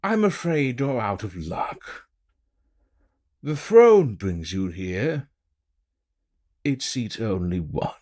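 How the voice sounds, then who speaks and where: A man's voice: on the low side, big pitch swings, slow, long pauses, a little mellow. A man voicing a flamboyant, smug villain with a theatrical, drawling, mock-aggrieved delivery for a hobby audio drama. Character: flamboyant, smug, theatrical male villain scheming world destruction. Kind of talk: hobby audio drama acting with in-character outtake banter.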